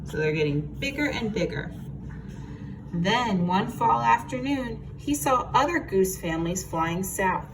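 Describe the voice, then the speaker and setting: A woman reading a picture book aloud to children in an expressive, teacherly way, with asides and questions. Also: medium pitch, a little slow. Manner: expressive, explains words and asks listeners questions. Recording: noisy, distant microphone.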